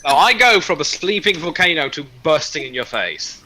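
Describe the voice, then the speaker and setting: A man's voice: a little high, sing-song, moderate pace, crowd noise. A man chatting casually on a stream in a blunt, rambling, sweary way. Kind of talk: casual chat.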